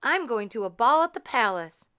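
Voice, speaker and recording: A woman voicing a sweet, gentle young fairy-tale heroine in a children's storybook, speaking earnestly. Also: fairly high pitch, very animated, moderate pace, smooth, pitched above the performer's own voice. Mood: hopeful, excited.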